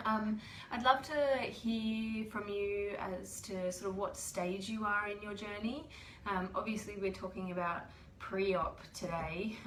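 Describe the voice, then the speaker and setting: A woman's voice: medium pitch, fairly bright tone, a little hiss or hum. A woman who has a cold, hosting a casual livestream and explaining things patiently with lots of ums. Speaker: host. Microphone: webcam or phone livestream. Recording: echoey room.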